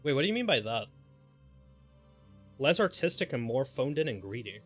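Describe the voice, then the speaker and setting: A man's voice: medium pitch, big pitch swings, normal speed, a bit bright, husky. A man chatting casually and informally on a live gaming stream, speculating in a rambling way. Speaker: game livestreamer. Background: background music.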